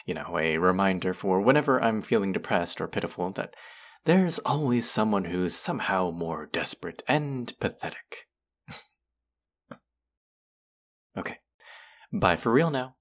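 A man's voice: sits low, lively intonation, unhurried, long pauses. A man acting a condescending, mocking young twin brother in a roleplay audio drama, with a teasing, sarcastic drawl. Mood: mocking, scornful.

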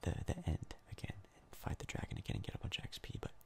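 A young man giving soft-spoken ASMR game commentary in a breathy, crackly whisper, casual and rambling with hesitant pauses. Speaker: ASMR gaming YouTuber.

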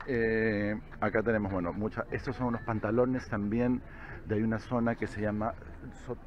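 A man's voice: on the low side, lo-fi sound. Peruvian man vlogging at an outdoor market. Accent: Peruvian Spanish. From Peru. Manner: chatty, laughs often, tags phrases with "¿ya?" and "¿no?". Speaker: travel vlogger. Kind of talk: casual travel vlog narration. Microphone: camera held at arm's length.

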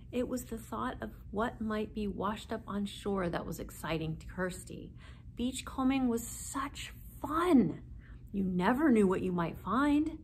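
A woman's voice: medium pitch, a little hiss or hum. A woman in her forties reading a children's story aloud expressively, seated indoors a short distance from the camera.

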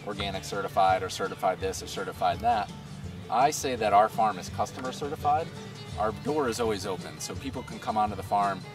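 A man's voice: lowish voice, brisk. A man in his thirties speaking as a farmer in a casual outdoor interview, conversational and earnest. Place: open farm field outdoors. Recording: distant outdoor camera mic, music underneath.

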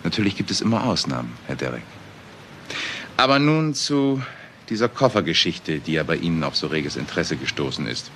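An actor playing a suave, cynical career criminal with eloquent, ironic phrasing in a German crime drama. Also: male voice, very deep voice, big pitch swings, brisk, background noise, heavily acted. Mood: smug, philosophizing. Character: suave, cynical male career criminal, self-assured and unrepentant.

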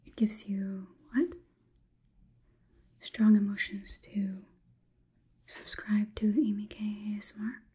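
A young woman whispering an ASMR roleplay close to the mic as a coolly logical robot overlord, in a halting, clinical, deliberate way. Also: medium pitch, little pitch movement, warm tone. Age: young adult. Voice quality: breathy, unvoiced whisper. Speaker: ASMR performer. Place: small indoor room. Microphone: close microphone.

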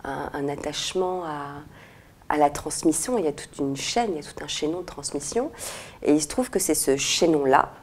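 A woman in her forties, an author, answering interview questions thoughtfully with frequent pauses and restarts, recorded on a lapel mic in a quiet indoor room. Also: sits low. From France and Morocco. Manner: thoughtful, many hesitations and restarts. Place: indoor room with red walls, seated in armchair.

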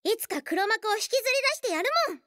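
A woman's voice: very high-pitched, quick pace, fairly bright tone, no room sound, clean studio sound. An actress playing a playful, cocky young girl assassin who loves gambling, in a cute, teasing anime game style. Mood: smug, cocky. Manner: cute, teasing, refers to herself by name.

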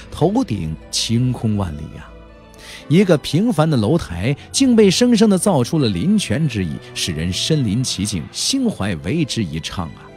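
A man's voice: mid-range voice, a little mellow. A man narrating an audiobook as a dramatic, colloquial storyteller, with playful, wry asides.

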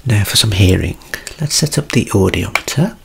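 A man in his thirties doing a soft-spoken ASMR medical roleplay as a doctor, with a reassuring clinical bedside manner, close up in a small room. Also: lowish voice, expressive, moderate pace, vocal fry, a little hiss or hum. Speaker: ASMR creator acting as a doctor.

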